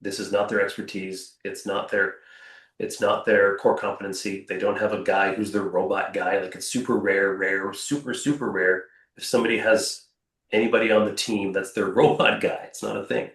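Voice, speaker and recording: A man's voice: lowish voice, fairly even intonation, moderate pace, a bit bright. A man who founded a robotics company, talking with energy and emphasis and often repeating his words. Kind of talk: panel discussion answer. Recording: echoey room, off-mic.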